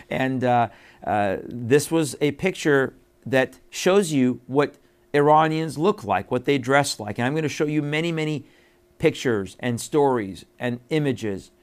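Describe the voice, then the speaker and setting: Middle-aged host, from Iran, mid-pitched, emphatic delivery, lots of uh's and restarts. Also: male voice, smooth. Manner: emphatic, repetitive, with many fillers. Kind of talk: earnest monologue to camera.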